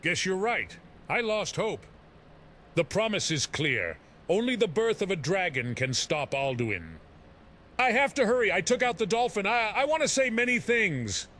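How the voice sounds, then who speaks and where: A man's voice: high-pitched, very animated, moderate pace, a bit bright, slight background noise. A man voicing a frantic, paranoid old man in hiding who rambles in fantasy game dialogue. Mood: frantic, urgent. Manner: rambling, agitated.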